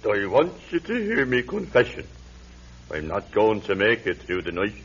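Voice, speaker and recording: An actor playing a sickly, guilt-ridden old Irish drinker in a radio drama, halting and lamenting in an Irish accent. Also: male voice, lowish voice, wildly swooping pitch, a bit bright, breathy edge, full character voice. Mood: ailing, pleading. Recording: muffled vintage radio recording, faint hum.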